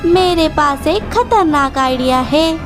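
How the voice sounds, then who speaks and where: Woman acting scheming mother bird, children's cartoon, very high pitch, slow delivery, sharp-tongued, dramatic. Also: expressive, over music. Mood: sly, sarcastic. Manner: sarcastic, exaggerated, dramatic.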